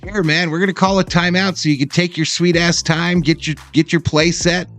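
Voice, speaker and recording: High-pitched salty male fan commentator. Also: level tone, moderate pace, fairly bright tone, faint music behind. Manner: salty, sarcastic, swearing. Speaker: live-stream sports host and fan commentator. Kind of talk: casual fan play-by-play reaction.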